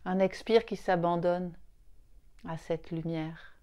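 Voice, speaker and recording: Female meditation guide, low-leaning dark soft tone, soothing, very slow delivery in short phrases. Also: fairly even intonation. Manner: soothing, unhurried, with long pauses between short phrases. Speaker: yoga nidra guide.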